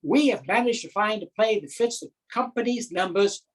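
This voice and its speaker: A woman's voice: mid-range voice, close to an everyday voice. Woman playing a pompous amateur theatre director. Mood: proud, welcoming. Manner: formal, pompous, deliberate pauses. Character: earnest, self-important amateur drama society director, male. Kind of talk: theatrical comic monologue.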